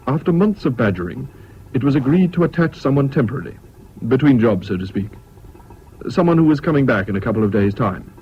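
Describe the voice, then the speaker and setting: An actor playing a sober British army major who also narrates a 1970s radio drama, frank and dry in manner. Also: male voice, fairly low pitch, natural voice. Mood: matter-of-fact. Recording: dull, noisy old radio recording.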